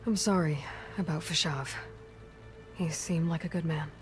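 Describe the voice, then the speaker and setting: Actress as a low-voiced, blunt young warrior woman. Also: fairly even intonation, moderate pace, faint music behind, lightly acted. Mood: sympathetic. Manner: direct, questioning, dry. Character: self-assured, blunt young woman warrior, wary and dry. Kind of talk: naturalistic video game acting.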